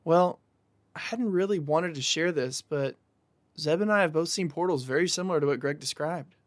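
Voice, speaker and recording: A man playing a calm young man who shares secrets in an audio drama, in a casual conversational voice. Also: a little high, moderate pace, dry recording, natural voice. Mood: confiding.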